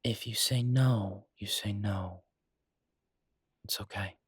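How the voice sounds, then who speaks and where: Husky low-voiced devoted boyfriend chef played by a man. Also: takes it slowly, dry recording, studio quality, exaggerated voice. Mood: tender, reassuring. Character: devoted, easygoing male chef, reassuring romantic partner. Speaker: audio roleplay voice actor. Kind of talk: intimate boyfriend audio roleplay.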